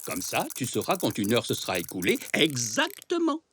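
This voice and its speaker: An actor playing a kindly, patient, easily muddled old grandpa zebra in a cartoon, with a hesitant, explanatory manner. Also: male voice, mid-range voice, huge pitch swings, moderate pace, a bit bright, a little hiss or hum. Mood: kindly explaining. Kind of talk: French children's cartoon dubbing.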